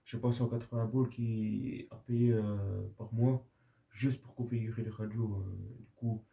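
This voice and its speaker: A man's voice: lowish voice, steady tone, unhurried, vocal fry. A man with a Belgian French accent chatting casually as a radio hobbyist on a two-way radio. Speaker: amateur radio user and video maker. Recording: slightly dull lo-fi audio.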